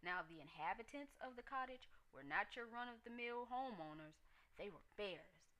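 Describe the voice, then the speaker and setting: Bright-voiced woman reading a children's story. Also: medium pitch, expressive, moderate pace, low-quality recording. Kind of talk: expressive scripted children's story narration.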